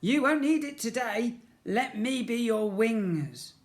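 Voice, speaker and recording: A man's voice: very high voice, expressive, slow pace, voice pushed up, full character voice. A man voicing a mischievous, eager, shape-shifting blob of slime from a storybook. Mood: playful.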